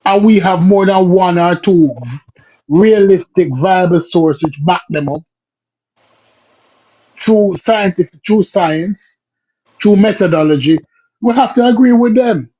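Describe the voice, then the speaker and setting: High, mellow man lecturing over dull audio. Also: measured pace, long pauses. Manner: lecturing, rhetorical questions. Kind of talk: argumentative discussion.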